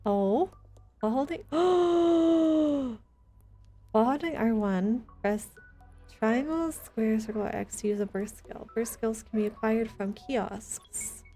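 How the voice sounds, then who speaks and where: A woman's voice: a little high, takes it slowly, dark tone, slightly rough, faint music behind. A woman chatting casually as she streams a video game, with playful, teasing banter. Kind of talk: casual livestream gaming chat.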